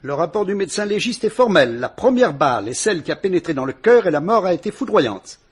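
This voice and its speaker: A man playing a patient police commissioner who speaks in a measured, deliberate, politely ironic way in a vintage radio drama. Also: high voice, big pitch swings, husky, full character voice.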